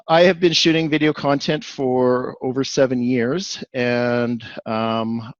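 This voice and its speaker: A man's voice: medium pitch, dry recording, lo-fi sound. A man from Canada with a Canadian accent giving a webinar presentation, rambling and restarting with lots of fillers.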